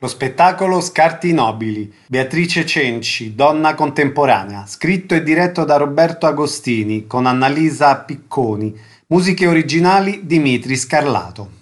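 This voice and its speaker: A man's voice: a little high, expressive, normal speed, few pauses, faint music behind. A man presenting as a radio host, announcing items and putting questions to a guest.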